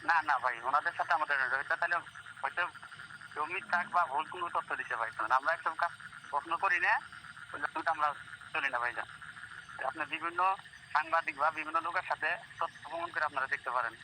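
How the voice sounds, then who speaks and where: A man's voice: on the high side, a little fast, sharp tone. A man with a Bangladeshi accent speaking earnestly and insistently on a phone call, defending himself. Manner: earnest, insistent, addresses listener as brother. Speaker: local journalist. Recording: phone call with faint noise.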